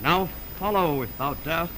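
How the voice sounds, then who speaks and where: An actor playing a bold, scornful, hot-blooded young lover with theatrical declamation in 1920s radio Shakespeare style. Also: male voice, fairly high pitch, moderate pace, bright, forceful tone. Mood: scornful, defiant. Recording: crackly 1929 radio film recording.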